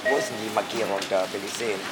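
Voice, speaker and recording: An older woman with a hoarse, raspy voice, chatting candidly in short, brusque replies. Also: a bass voice, steady tone, rapid-fire, loud music behind the voice, low-quality recording. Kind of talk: candid street conversation.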